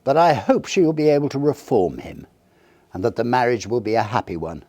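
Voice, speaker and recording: A man's voice: medium pitch, lively intonation, brisk, darkish tone, no room sound, voice pushed up, close to an everyday voice. A man voicing a kindly, opinionated country rector chatting about his parishioners in a storybook reading. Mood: gossipy, concerned. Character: kindly, gossiping country rector, mildly disapproving.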